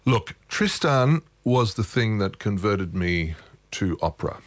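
Male guest, very low voice, wry and rambling, dry close-mic sound. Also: animated, a little slow, no room sound. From Australia. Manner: wry, self-mocking, thoughtful, rambling anecdotes. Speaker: guest musician. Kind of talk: relaxed storytelling interview.

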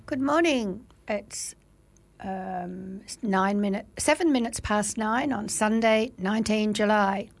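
An Australian woman presenting on community radio, with a froggy, gravelly voice, reading the weather in a relaxed way with fillers and small slips. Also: mid-range voice, slow. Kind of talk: live radio weather read and announcing.

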